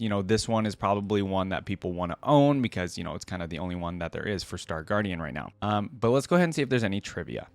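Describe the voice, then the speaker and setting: A man's voice: on the low side, dry recording. A man hosting a reaction video in a casual, conversational way, thinking aloud as he comments. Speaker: YouTube reaction host.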